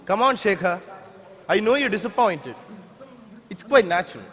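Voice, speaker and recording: A man with an Indian accent playing a smooth-talking, self-righteous politician in a stage drama, measured and pompous. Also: high voice, sing-song, fairly bright tone, faint music behind. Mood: ingratiating. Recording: echoey hall, stage play.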